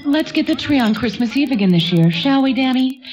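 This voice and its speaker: An actress playing a fond, nostalgic, gently bossy grandmother in a radio play, with a folksy way of talking. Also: high voice, expressive, fairly quick. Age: older.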